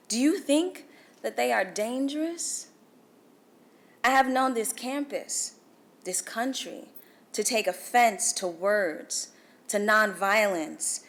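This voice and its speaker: A young woman from Guyana with a Guyanese accent, delivering a formal speech at a celebration breakfast in measured, emphatic oratory with dramatic pauses. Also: mid-range voice, fairly bright tone. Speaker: featured speaker. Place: event hall.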